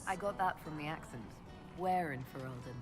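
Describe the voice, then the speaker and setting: Woman as a composed, low-voiced elf heroine. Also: a little fast, music in the background, natural voice. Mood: curious, wry. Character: composed elf woman hero, wry and diplomatic. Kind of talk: video game character acting.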